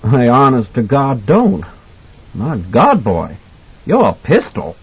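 A man voicing a jovial, kindly older mentor with a husky voice and a folksy, colloquial manner in an audiobook. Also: medium pitch, wildly swooping pitch, slow, muffled recording, full character voice. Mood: shaken, reassuring. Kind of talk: audiobook character acting.

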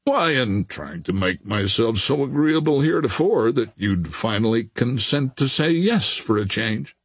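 A man voicing a lovesick, bantering young gentleman in an audiobook, earnest and teasing. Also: mid-range voice, lively intonation, unhurried, slightly rough, dry recording. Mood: rueful.

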